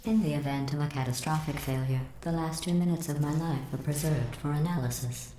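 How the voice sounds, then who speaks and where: Cold sarcastic robot ai lady, low mellow synthetic voice, echoey. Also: a little hiss or hum. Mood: cold passive-aggressive. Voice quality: passive-aggressive, flat and measured delivery. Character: cold sarcastic female AI supercomputer. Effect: synthetic robotic voice processing. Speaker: video game character. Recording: echoey game audio.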